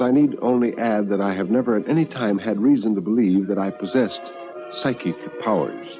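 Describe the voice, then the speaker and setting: A man playing a meek, self-deprecating bachelor, telling his story as measured, wistful first-person radio narration. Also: low voice, lo-fi sound, close to an everyday voice. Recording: 1950s radio recording with music underneath.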